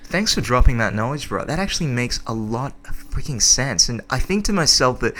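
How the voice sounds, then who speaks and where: A man's voice: mid-range voice, sing-song, brisk, a bit bright. A man hosting a podcast, chummy and slangy, often calling his guest "bro". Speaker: podcast host. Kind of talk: casual interview. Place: podcast studio.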